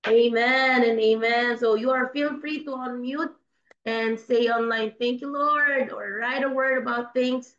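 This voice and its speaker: A woman's voice: on the high side, fairly even intonation, a little slow, a bit bright. Fervent Filipino woman leading prayer. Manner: fervent, repetitive, switching between English and Tagalog. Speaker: prayer leader and host. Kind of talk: spoken prayer and closing announcements. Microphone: video call. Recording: dull lo-fi call audio in an echoey room.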